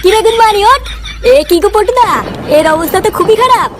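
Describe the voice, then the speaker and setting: A woman voicing an excitable, crude comic character in a Bengali cartoon. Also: very high-pitched, fairly quick. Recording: loud background noise.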